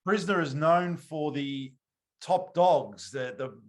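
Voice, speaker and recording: A man chatting as an interview host, a little halting and reassuring. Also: fairly high pitch, expressive, reverberant. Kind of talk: casual interview chat.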